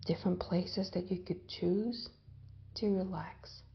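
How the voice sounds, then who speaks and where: A woman leading a guided visualization in a gentle, hypnotic way, with long pauses and soft phrase endings that trail off toward a whisper. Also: fairly low pitch, steady tone, creaky, dull audio. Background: background music.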